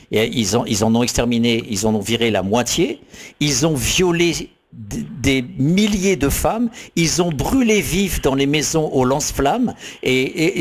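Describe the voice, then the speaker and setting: Man, emphatic repetition, slightly high voice, faint background noise. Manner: emphatic, repeats phrases for force. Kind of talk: impassioned radio monologue.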